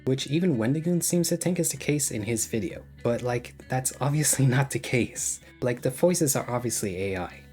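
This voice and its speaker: A man's voice: medium pitch, expressive, moderate pace, hardly any pauses, a little mellow, faint music behind. Sarcastic man narrating a video essay. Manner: sarcastic, critical commentary. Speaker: YouTube commentator.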